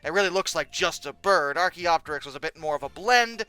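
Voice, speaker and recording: An incredulous host delivers sarcastic reaction commentary in a bright, sharp voice. The pitch moves around a great deal, with emphatic stresses. The sound is close and dry, with faint music underneath. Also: lively intonation, a little fast, clear, resonant tone, no room sound. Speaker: video host. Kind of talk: reacting commentary, reading old text aloud and mocking it.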